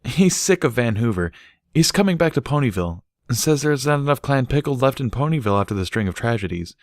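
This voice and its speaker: A man's voice: mid-range voice, sing-song, fairly quick, no room sound, studio quality, voice pushed up, natural voice. A man voicing a cheerful, playful and chatty adult female pony in a storybook reading. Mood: teasing.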